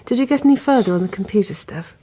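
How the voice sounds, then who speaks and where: An actress playing a guarded, worried grown daughter in a radio drama, in a hushed, clipped, intimate manner. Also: female voice, medium pitch, lively intonation, fast talker, slightly rough, low-quality recording. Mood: tense, guarded.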